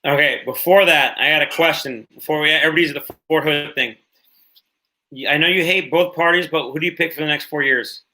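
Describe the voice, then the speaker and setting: Host, late 30s, male, bright voice, slightly high, loose rambling delivery with casual swearing. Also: brisk, rough recording. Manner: rambling, swears freely, repeats himself. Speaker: podcast host. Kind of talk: casual livestream podcast chat.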